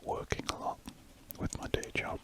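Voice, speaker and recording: A speaker, chatting in a soft, rambling ASMR whisper while drawing. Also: moderate pace. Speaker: ASMR drawing channel host.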